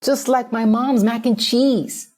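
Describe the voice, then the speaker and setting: A woman's voice: a little high, steady tone, slow pace, darkish tone, voice pushed up. Woman voicing a growling, delighted alien gourmand. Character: bulbous-nosed alien dignitary, a delighted, rubbery-lipped gourmand.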